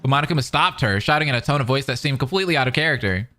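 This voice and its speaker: A man reading narration dramatically and adding excited commentary as a reaction streamer. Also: mid-range voice, very fast. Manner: animated, dramatic. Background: faint music.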